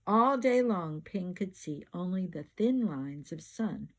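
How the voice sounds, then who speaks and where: An older woman with a husky voice narrates a children's story at a very unhurried pace. She reads expressively, stretching out words and leaning on repeated phrases, as a grandmother would. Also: lowish voice. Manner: slow, expressive storytelling with drawn-out words and emphatic repetition. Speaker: grandmother reading aloud. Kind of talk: children's picture book narration.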